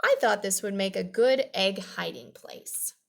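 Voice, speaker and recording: A woman's voice: medium pitch, a little slow, vocal fry. A woman reading a children's storybook, voicing a sad little female Easter bunny with a plaintive, sighing manner.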